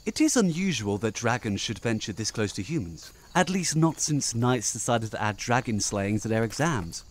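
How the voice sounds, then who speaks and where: A man's voice: mid-range voice, animated, moderate pace, few pauses, lightly acted. A man playing a courteous, grandiose elf in a fantasy audio drama, speaking formally and floridly. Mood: gallant. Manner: formal, flowery, theatrical. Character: courteous, grandiose young male elf adventurer.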